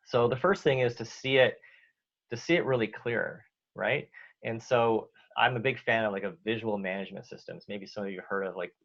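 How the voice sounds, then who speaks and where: Low-voiced Canadian man on a dull line. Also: normal speed, gravelly. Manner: relaxed, lots of fillers and restarts. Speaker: guest. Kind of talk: casual interview answers. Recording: dull lo-fi audio.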